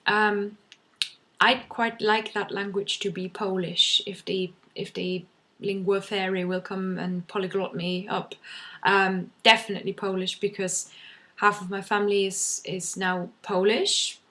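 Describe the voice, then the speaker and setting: A young woman, German by first language, chats casually to her camera, rambling and restarting with plenty of ums. Her voice sits at an ordinary middle pitch. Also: level tone, unhurried, sharp tone. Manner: chatty, many ums and restarts. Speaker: vlogger.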